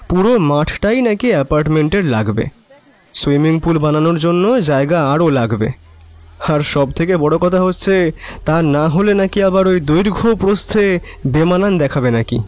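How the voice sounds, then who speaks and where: A man's voice: on the high side, darkish tone, dry recording, natural voice. An actor playing a cynical, resigned young neighbourhood man, talking colloquially and wryly in an audio drama. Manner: colloquial, emphatic repetition, wry. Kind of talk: Bengali audio drama acting.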